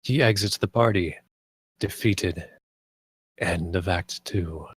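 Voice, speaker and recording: A man's voice: sits low, takes it slowly, breathy edge, no echo. A man reading scripted stage directions as the narrator of a screenplay table read.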